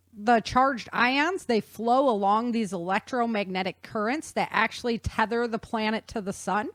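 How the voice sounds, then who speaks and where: A woman's voice: medium pitch, dry recording. Casual woman explaining science, close dry sound. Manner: casual, conversational, slangy. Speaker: author and presenter. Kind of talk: informal explanatory talk on science.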